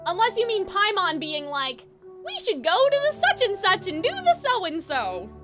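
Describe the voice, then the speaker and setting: A woman with a very high voice hosts a live video game stream. She talks casually to her chat in an informal, exclamatory way, dropping swear words. Music plays clearly behind her. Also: huge pitch swings, moderate pace.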